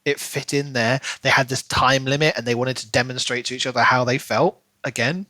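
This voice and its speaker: A man's voice: medium pitch, steady tone, brisk, sharp tone, dry recording. A man co-hosting a podcast, talking through his opinions in a casual, analytical book discussion. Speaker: podcast co-host.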